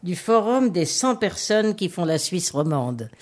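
A radio host with a rather low voice for a woman. She guides the interview with short, direct questions. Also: big pitch swings, a little slow.

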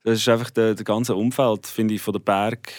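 Low-voiced man with an Afrikaans-tinged Swiss German accent. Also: fairly quick, no room sound, studio quality. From South Africa. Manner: relaxed, laughs easily, restarts phrases. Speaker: guest musician. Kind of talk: casual interview chat.

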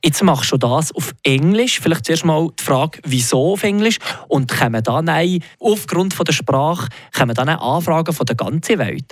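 A man interviewing a guest, asking curious questions with somewhat halting phrasing. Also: a little high, expressive, slightly rough, no echo, studio quality. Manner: inquisitive, slightly halting phrasing.

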